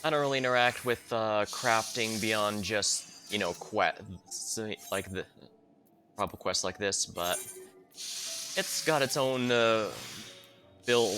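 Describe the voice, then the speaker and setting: A man giving casual gameplay commentary as a streamer, explaining things in a hesitant, trailing way. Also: fairly low pitch. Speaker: game streamer. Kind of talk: casual gameplay commentary, reads quest dialogue aloud.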